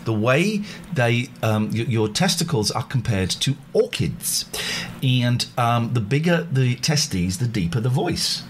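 A man co-hosting a casual late-night radio show, joking and rambling, now and then putting on a squeaky voice. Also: very animated, a little slow, slight background noise.

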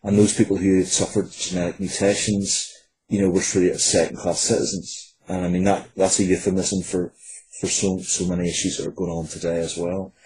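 A man's voice: low-pitched, level tone, brisk, a little mellow, a little hiss or hum, poor audio. A man speaking as an interview guest, explaining his work earnestly and wordily, with hesitant phrasing.

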